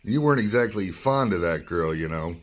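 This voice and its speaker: A probing, skeptical newspaper reporter played by a man in a radio drama. The voice is a little low, with a dry, wry delivery for dialogue and narration. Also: animated, fairly quick, slight background noise. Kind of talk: radio drama acting and first-person narration.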